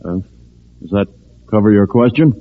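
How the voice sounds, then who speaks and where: A man's voice: lowish voice, lively intonation, normal speed, natural voice. A man acting as a polite, businesslike civil defense director in a 1950s radio drama. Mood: courteous, businesslike. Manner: courteous, businesslike chairing of a meeting. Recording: tinny old radio recording.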